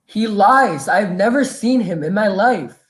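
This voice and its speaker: A woman's voice: medium pitch, animated, measured pace, close to an everyday voice. A woman playing a defensive, accusing villain, a corrupt police inspector, in an old-time radio drama. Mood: angry denial.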